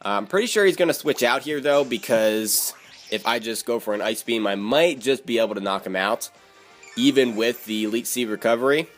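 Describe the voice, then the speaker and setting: A man's voice: medium pitch, moderate pace. Man giving game commentary over music. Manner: repeats words and phrases for emphasis. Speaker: gamer narrating own battle video. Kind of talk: video game battle commentary.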